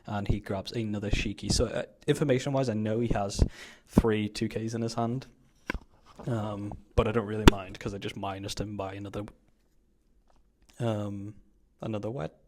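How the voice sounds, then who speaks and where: Man, fairly low voice, casual gameplay commentator. Informal, slangy, explains each play as a fellow player. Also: little pitch movement, moderate pace, darkish tone, a little hiss or hum. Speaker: card game player commentating his own match.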